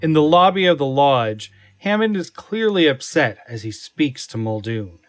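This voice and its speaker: A man narrating a scripted drama in a deliberate, descriptive way. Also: a little high, very animated.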